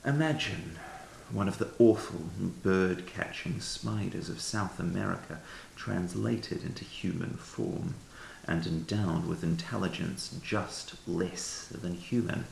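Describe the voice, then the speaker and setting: A man reading a literary ghost story as a narrator, in a measured, formal storytelling manner. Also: low-pitched, gravelly, a little mellow. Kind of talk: scripted literary audiobook narration. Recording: echoey room with faint hiss.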